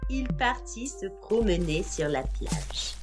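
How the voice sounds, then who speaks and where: Female children's narrator, expressive scripted read, lively swinging intonation, unhurried, music bed behind. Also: mid-range voice. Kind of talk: scripted storytelling for young children.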